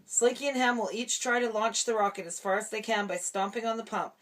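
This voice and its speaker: A woman's voice: fairly high pitch, fairly quick, fairly bright tone, close to an everyday voice. A woman voicing a confident, upbeat male toy space ranger from a storybook. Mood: announcing.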